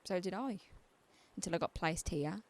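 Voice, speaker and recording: A woman's voice: fairly low pitch, moderate pace, natural voice. A British woman playing a bitter, challenging psychiatric inmate in her twenties in a radio drama, with a sardonic manner. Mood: guarded, wry.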